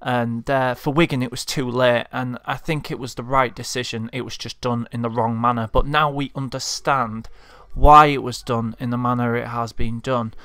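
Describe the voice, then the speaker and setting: A man's voice: mid-range voice, fairly even intonation, moderate pace, few pauses, pushed and bright, smooth, no echo. A man from Leeds with a Leeds accent, delivering a frustrated, heartfelt vlog rant as a football fan. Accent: Leeds Yorkshire. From Leeds, England. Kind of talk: impassioned monologue rant.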